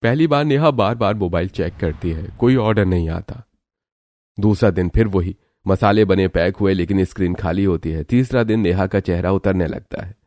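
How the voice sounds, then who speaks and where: A man narrates a scripted story in a low, dark-toned voice. The recording is close and dry, with the delivery of a storyteller. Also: normal speed, no room sound.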